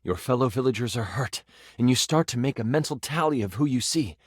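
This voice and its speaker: An animated, theatrical game master. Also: male voice, mid-range voice, a little fast, breathy edge, no room sound, studio quality. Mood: dramatic storytelling. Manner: dramatic, expressive, with aside remarks. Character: male tabletop game master, personified D20, eager theatrical storyteller. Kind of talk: theatrical fantasy tabletop narration.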